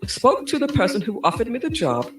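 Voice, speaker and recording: A woman from Birmingham, England, with a Birmingham accent, telling stories as a guest in an upbeat, animated way. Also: fairly high pitch, soft background music. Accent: Birmingham English. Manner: animated, upbeat storyteller with a quick laugh. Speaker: guest, digital marketing strategist. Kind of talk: casual interview chat. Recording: roomy echo.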